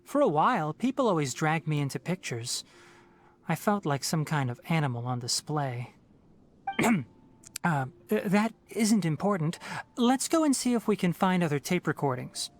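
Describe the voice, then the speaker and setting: A composed young scholar in a video game, measured and slightly dry, with a lively, expressive lilt to his speech. A faint hiss lies under his voice. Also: on the high side. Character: calm young male scholar and forest ranger, composed. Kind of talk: game voice acting.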